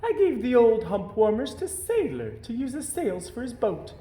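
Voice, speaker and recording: A man's voice: very high-pitched, very animated, normal speed, mellow, voice pushed up. A man voicing a helpful, apologetic female toy camel in a storybook. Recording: roomy, echoey indoor sound.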